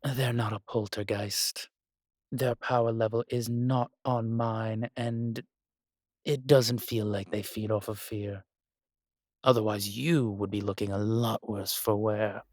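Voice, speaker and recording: A man playing a blunt, protective male spirit in an audio drama, with a husky voice and a matter-of-fact delivery. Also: on the low side, steady tone, takes it slowly, a little mellow, no room sound, clean studio sound. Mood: grave. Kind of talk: audio roleplay acting.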